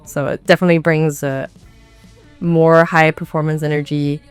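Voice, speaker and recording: A woman's voice: low-pitched, flat delivery, moderate pace, clear, resonant tone, dry recording. A woman, an indie singer-songwriter and native speaker of Tagalog and English, chatting casually with self-deprecating humour. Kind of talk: casual interview chat. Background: music.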